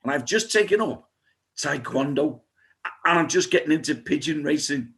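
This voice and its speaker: A man's voice: a little high, lively intonation, moderate pace, a bit bright. A man playing a cheerful, daft studio lad who tells absurd things in a chatty, matter-of-fact way.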